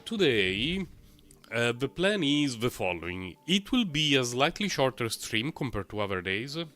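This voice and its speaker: A man livestreaming a game, talking in a rambling, chatty way full of fillers, his voice a little low and rough from a recent cold. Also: sharp tone. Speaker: game streamer. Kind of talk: casual live-stream gameplay commentary.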